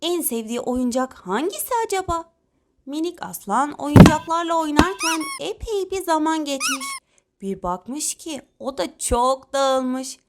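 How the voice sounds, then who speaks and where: A woman narrating scripted children's stories and addressing young listeners in an instructive way. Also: sits high. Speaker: narrator and channel presenter.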